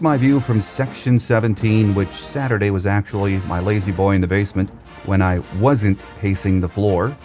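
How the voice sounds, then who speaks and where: A man hosting a sports podcast, delivering a scripted commentary monologue in a measured, orderly way. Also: lowish voice, a little mellow. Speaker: podcast host.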